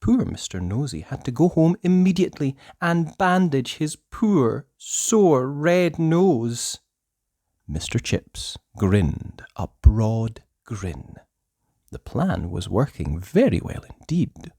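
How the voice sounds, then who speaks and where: Slow, highly animated children's storyteller. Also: dry recording, studio quality. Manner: theatrical, deliberate, with hushed passages and emphatic words. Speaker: storyteller reading a children's book aloud. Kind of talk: expressive children's story narration.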